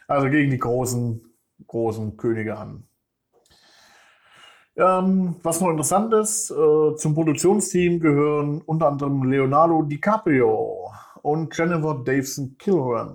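A man hosting a casual film news podcast, rambling with many filler sounds and stopping to correct himself. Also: mid-range voice. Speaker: podcast host.

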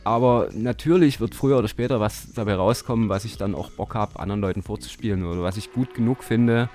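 A man's voice: lowish voice, fast, darkish tone. A man chats loosely in his living room, hesitating and filling gaps as he thinks. He laughs easily between thoughts. Faint music plays in the background. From Dresden, Germany. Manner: hesitant, many fillers, laughs often. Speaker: interview guest, modular synth hobbyist. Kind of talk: casual interview chat.

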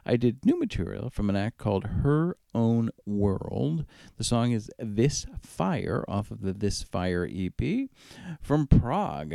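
Lively low-voiced male radio DJ with creaky edge. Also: no echo, studio quality. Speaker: radio DJ and show host. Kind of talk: music radio back-announcing and track introductions.

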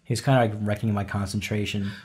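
A man's voice: lowish voice, steady tone, fast talker, a little mellow. A man co-hosting a casual chat, rambling with wry asides. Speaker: co-host.

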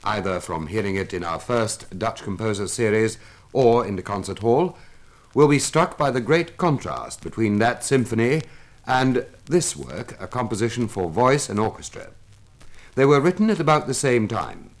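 A man giving a formal, measured scripted narration as an announcer, recorded on a 1950s radio transcription disc. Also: on the low side, lively intonation. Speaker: announcer of a classical music demonstration record.

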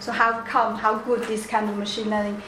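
A woman with a Chinese accent giving a seminar presentation, halting with many restarts and fillers. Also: medium pitch, little pitch movement. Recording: echoey room, faint background noise.

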